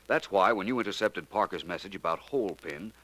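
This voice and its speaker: An actor playing a suave, calmly superior gentleman detective in a 1940s radio drama, smooth and unhurried. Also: sits low. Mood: explaining. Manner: smooth, unhurried, lightly condescending.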